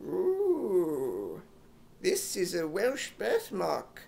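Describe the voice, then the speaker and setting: Man playing a slow, doddery old British shopkeeper. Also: high voice, wildly swooping pitch, creaky, a little mellow, full character voice. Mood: fussy. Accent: put-on British. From United States. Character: shuffling, doddery old male pawnshop keeper. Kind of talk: 1950s radio comedy acting.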